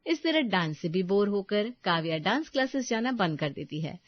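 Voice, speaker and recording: A woman's voice: medium pitch, big pitch swings, moderate pace, dry recording, highs cut off. A woman reading scripted narration for a bedtime story.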